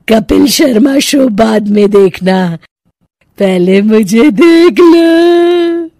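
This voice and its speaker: A woman's voice: high voice, darkish tone, dry recording, clean studio sound, pitched above the performer's own voice, full character voice. A woman voicing a menacing female witch in a cartoon story, with gloating, taunting, cackling delivery.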